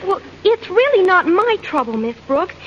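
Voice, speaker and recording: A woman's voice: very high-pitched, very animated, normal speed. An American woman playing an earnest, bashful teenage girl in a gushing, naive, girlish manner for a 1940s radio sitcom. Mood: earnest, pleading. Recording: dull old radio recording, faint noise, audience.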